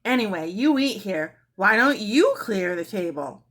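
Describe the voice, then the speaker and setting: A woman's voice: medium pitch, expressive, a little slow, fairly bright tone. A woman voicing an impudent, sulky little schoolgirl who talks back in a storybook. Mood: sulky, defiant. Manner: defiant back-talk.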